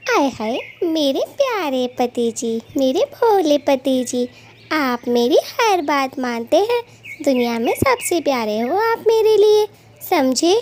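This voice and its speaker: A woman's voice: high voice, very animated, slow, darkish tone, heavily acted. A woman voicing a gossipy, scheming female bird thief who dotes on her husband, in a dramatic, exclamatory children's cartoon manner. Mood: doting. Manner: dramatic, exclamatory, scolding and fawning. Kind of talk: Hindi children's cartoon dialogue.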